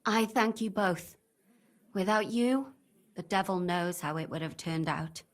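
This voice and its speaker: A woman's voice: lowish voice, steady tone, unhurried, close to an everyday voice. An actress playing a blunt, cynical, tough medieval tavern woman in a video game. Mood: sincere, practical. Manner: blunt, forthright. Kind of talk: video game voice acting, dramatic dialogue.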